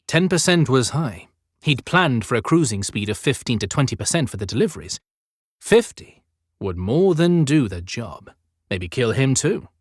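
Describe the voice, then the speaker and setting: Guy reading an audiobook, theatrical and suspenseful, medium pitch, super dry studio recording. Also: big pitch swings, moderate pace, breathy edge, no room sound. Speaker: audiobook narrator. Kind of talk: dramatic scripted fiction narration.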